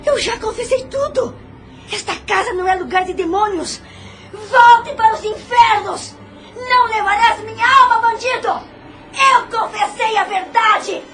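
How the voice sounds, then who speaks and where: Woman acting a high-voiced, slow, tormented widow. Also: a bit bright, faint music behind. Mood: terrified, defiant. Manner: anguished, melodramatic. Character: tormented, guilt-ridden widow seeing the devil. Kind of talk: audio drama acting.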